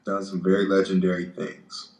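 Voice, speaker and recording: A man's voice: deep voice, completely flat delivery, moderate pace, a little mellow, reverberant. A man in his late twenties, a guest in a casual chat, blunt and profane, stumbling through restarts. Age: 20s.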